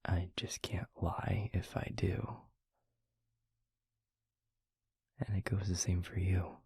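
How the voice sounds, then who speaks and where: A man playing a gentle, devoted vampire boyfriend in an intimate audio roleplay, speaking earnestly and haltingly in a breathy, husky near-whisper. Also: sits low, level tone, exaggerated voice. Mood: careful, explaining. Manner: slow, halting, tender reassurance.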